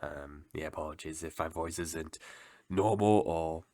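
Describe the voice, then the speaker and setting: A young man with a very low, slightly sick voice reads through game patch notes casually. He hesitates, restarts and hedges often. The recording is dry and close, with no room sound. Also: a little slow, warm tone, dry recording. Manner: hesitant, many restarts and guesses. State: sick, voice lower than usual. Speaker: gaming video host.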